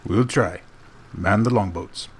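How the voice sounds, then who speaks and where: Man playing a steady low-voiced ship's captain. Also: takes it slowly, a little hiss or hum. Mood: commanding. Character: kindly, commanding male ship's captain. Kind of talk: audio drama acting.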